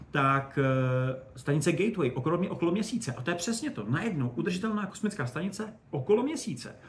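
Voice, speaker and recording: A man's voice: medium pitch, a little hiss or hum. A man giving conversational, enthusiastic and discursive answers as a guest expert on spaceflight.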